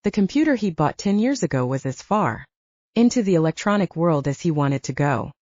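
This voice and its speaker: Dry, expressive woman narrating fiction. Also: deep voice, a little fast, no room sound. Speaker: audiobook narrator. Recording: dull, slightly lo-fi, dry recording.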